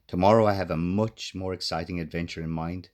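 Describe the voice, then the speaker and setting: A man's voice: low-pitched, fairly even intonation, fairly quick, darkish tone, natural voice. A man voicing a cheerful, adventurous female storybook heroine who is playful, confident and a little bossy. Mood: fond, teasing. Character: Pop, cheerful adventurous female character leading her friend.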